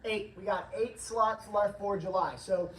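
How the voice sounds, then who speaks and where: A hoarse man hosting a casual livestream, upbeat and rambling as he reads chat comments aloud. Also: high-pitched, fairly even intonation, measured pace, slight background noise. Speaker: livestream host, training company staff. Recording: roomy, distant from the mic.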